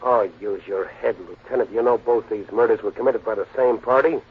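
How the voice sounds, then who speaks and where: Wry wisecracking lawyer detective, husky voice, tinny old radio. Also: male voice, on the low side, steady tone, fairly quick. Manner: wry, sardonic. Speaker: narrator and lead. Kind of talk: 1940s radio acting.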